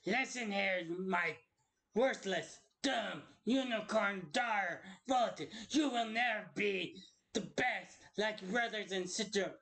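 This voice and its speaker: A woman's voice: medium pitch, slow, a bit bright. A woman voicing a cruel, scornful unicorn parent who berates a child with harsh contempt in an amateur cartoon style. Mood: contemptuous. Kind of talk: amateur cartoon villain voice acting.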